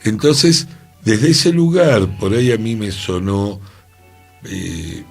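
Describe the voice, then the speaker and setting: A man's voice: lowish voice, takes it slowly, vocal fry, breathy edge, soft background music. A man hosting a radio discussion, thinking aloud and reflective, often restarting his phrases. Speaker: radio host. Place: radio studio.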